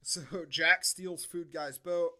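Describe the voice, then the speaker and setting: A man with a slightly high-pitched, very bright and forceful voice chats casually as a podcast co-host. He recaps a film in a comedic, sardonic style, as informal conversation. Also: steady tone, slow pace.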